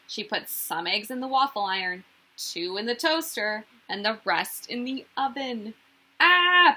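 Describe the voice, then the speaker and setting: A woman reading a picture book aloud to children in an expressive narrating style. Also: mid-range voice. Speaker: children's story reader.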